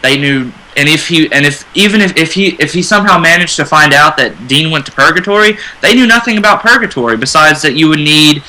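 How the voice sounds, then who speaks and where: A man's voice: on the high side, expressive, brisk, few pauses, bright, forceful tone, rough recording. A man in a casual fan discussion, explaining his view and rewording as he goes. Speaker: fan radio panelist.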